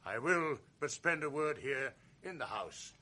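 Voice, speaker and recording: An actor playing a proud noble general in a radio drama, speaking verse in a measured, commanding way. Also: male voice, medium pitch, big pitch swings, background noise. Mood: composed. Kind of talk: 1980s radio drama acting.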